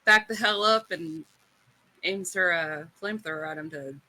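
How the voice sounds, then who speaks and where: A woman's voice: lowish voice, moderate pace, bright, forceful tone, poor audio. A woman chatting casually as a player in a tabletop roleplaying game, apologetic and self-deprecating in her manner.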